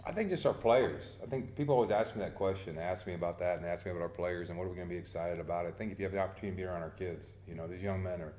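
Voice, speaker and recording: A man's voice: sits low, rapid-fire, few pauses, a little mellow, roomy sound, slight background noise. A man answering interview questions as a head baseball coach, earnest and often restarting his sentences. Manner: earnest, repeats words when starting sentences.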